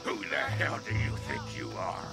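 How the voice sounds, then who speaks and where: A man's voice: moderate pace, lightly acted. An actor playing a belligerent male frontier townsman in a video game, with an aggressive, threatening manner. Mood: hostile. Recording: game soundtrack music underneath.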